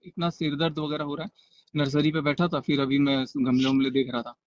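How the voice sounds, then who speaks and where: A man's voice: a little high, flat delivery, a little fast, dry recording. A man chatting casually on a phone call, speaking in short, agreeable bursts. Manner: brief, agreeing replies. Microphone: phone call, out and about. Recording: muffled lo-fi recorded phone call.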